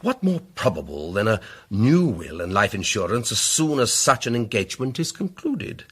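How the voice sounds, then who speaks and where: Older English male, self-assured lawyer: low, slightly husky, rhetorical, pompous, radio drama. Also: big pitch swings, normal speed, a bit bright, full character voice. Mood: grave, assertive.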